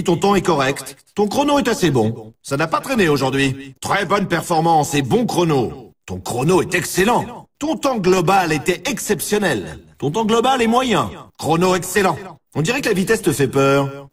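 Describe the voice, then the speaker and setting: A man's voice: high-pitched, husky, recorded from a distance, exaggerated voice. A man playing a brash, teasing snowboard race announcer in a video game, with punchy scripted delivery. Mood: impressed. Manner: punchy exclamatory delivery in short scripted lines. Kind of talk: scripted sports announcer lines with colloquial address.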